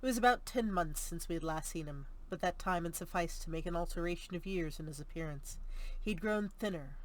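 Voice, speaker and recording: A woman's voice: deep voice, rough recording. A woman reading a gothic novel aloud as scripted audiobook narration. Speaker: audiobook reader.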